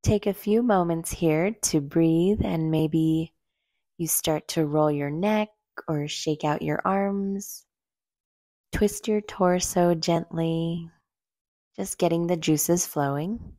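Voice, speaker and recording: A woman leading a guided meditation in a gentle, soothing, unhurried way, leaving long pauses between short phrases. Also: lowish voice, no room sound, clean studio sound. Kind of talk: slow guided meditation narration.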